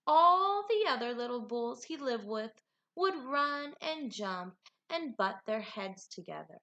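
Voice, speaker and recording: Female elementary teacher, bright and lively, expressive read-aloud delivery for kids. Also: on the high side, a little slow, lo-fi sound. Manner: animated, expressive delivery addressed to young pupils. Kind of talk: read-aloud lesson for children.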